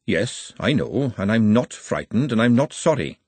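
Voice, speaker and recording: A man's voice: medium pitch, sing-song, normal speed, husky, no echo. A man voicing a plain-spoken, stubborn young working woman in a storybook. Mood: defiant. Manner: blunt, stubborn.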